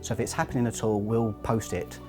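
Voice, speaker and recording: A man's voice: lowish voice, fairly even intonation, a little fast, music in the background. A man giving practical information as a charity representative in an interview style.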